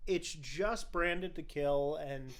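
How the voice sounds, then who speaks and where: Young adult man, fairly high smooth voice, podcast host, enthusiastic, rambling casual film chat. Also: takes it slowly.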